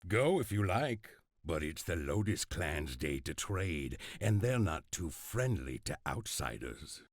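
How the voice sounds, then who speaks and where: A man's voice: deep voice, sing-song, measured pace, no echo, studio quality, heavily acted. An actor playing a wary, cynical townsman in a video game cutscene. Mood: wary, offhand. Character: wary, cynical town local warning outsiders.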